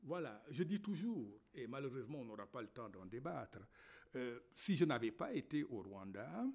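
Lively, halting man speaking. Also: medium pitch. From Senegal. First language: Wolof. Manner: halting, many fillers and repeated words. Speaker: novelist and university lecturer. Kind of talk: reflective panel talk.

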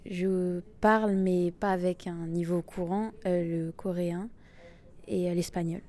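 Young woman, low and warm. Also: steady tone, a little slow. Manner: casual, with fillers and a laugh.

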